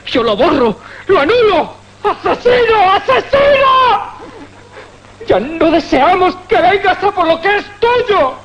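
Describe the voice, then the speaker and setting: A man's voice: very high voice, big pitch swings, slow pace, pauses often, exaggerated voice. An actor playing a frantic, terrified young man near breakdown in a melodramatic radio drama. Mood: hysterical. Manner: frantic, theatrical. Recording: dull, noisy radio drama recording.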